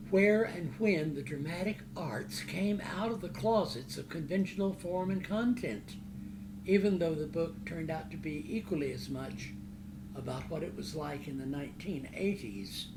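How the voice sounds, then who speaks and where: A man reading literary prose aloud as its author, in a declamatory, dramatic and deliberate manner. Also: fairly high pitch. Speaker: author reading from own novel. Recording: roomy echo, loud background noise.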